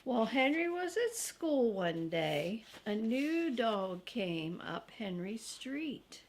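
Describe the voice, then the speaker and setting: Slow-reading older woman with a creaky voice. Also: a little high, sing-song, slight background noise. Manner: slow, deliberate, grandmotherly read-aloud for young children. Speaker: storybook reader. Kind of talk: reading a children's book aloud with casual asides.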